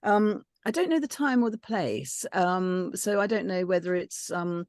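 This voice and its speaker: A woman's voice: on the low side, lively intonation, measured pace, darkish tone, no echo. A woman talks casually as a panellist giving feedback. She is tentative and often circles back over a phrase. The sound is close and dry, with no audible room. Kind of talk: casual discussion.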